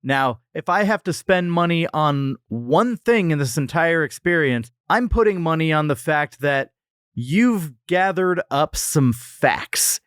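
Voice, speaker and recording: A man's voice: fairly high pitch, lively intonation, a little slow, a bit bright, clear, resonant tone, dry recording, clean studio sound. A man hosting a comedic podcast, telling stories in a conversational, joking way. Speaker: podcast host. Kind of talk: casual comedic podcast chat.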